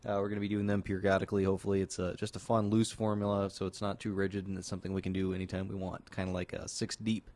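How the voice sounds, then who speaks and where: A man's voice: low voice, little pitch movement, racing, few pauses, a little mellow. A man co-hosting a stream show, chatty and hesitant with many fillers. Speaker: co-host. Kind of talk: casual live-stream talk.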